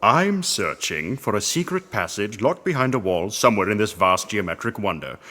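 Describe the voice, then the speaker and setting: A pompous, idle archaeologist played by a man in comic audio theatre. He grumbles fussily in a slightly low but sharp, forceful voice. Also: very animated, normal speed, exaggerated voice. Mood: indignant. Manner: fussy, self-important.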